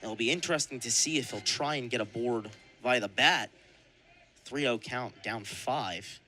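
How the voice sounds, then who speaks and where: Bright-voiced young male baseball announcer. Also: medium pitch, moderate pace, soft background music. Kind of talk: live radio sports commentary.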